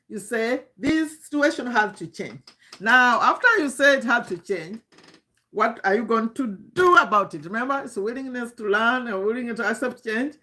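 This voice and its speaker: A woman's voice: fairly high pitch, pushed and bright. A woman in her fifties with a Ugandan accent gives an informal motivational talk as a coach, emphatically repeating phrases and asking rhetorical questions. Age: 50s. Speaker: online business coach.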